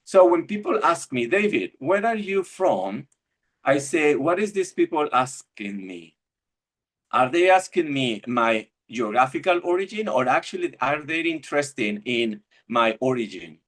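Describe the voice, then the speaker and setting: A man's voice: medium pitch, expressive, unhurried, a bit bright. A middle-aged man from Argentina, giving a reflective church webinar talk over a video call and often restarting his phrases as he searches for words. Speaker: webinar speaker.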